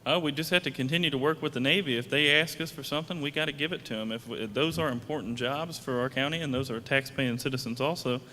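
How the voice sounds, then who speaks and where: Plain-spoken man in a hall. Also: sharp tone. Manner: plain-spoken, halting with restarts and fillers. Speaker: county commission candidate. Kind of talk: candidate debate answers. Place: event hall, PA sound system. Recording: long hall reverb, faint rumble.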